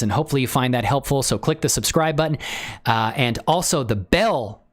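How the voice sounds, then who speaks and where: Animated, chatty livestream host. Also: medium pitch. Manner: chatty, enthusiastic, informal slang. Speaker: livestream host and tutorial creator. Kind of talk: casual livestream chat with software tutorial.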